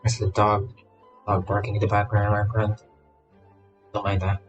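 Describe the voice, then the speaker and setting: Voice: deep voice, completely flat delivery, moderate pace, lo-fi sound, soft background music. A streamer giving casual game commentary, playful and exclamatory, rambling and repeating words.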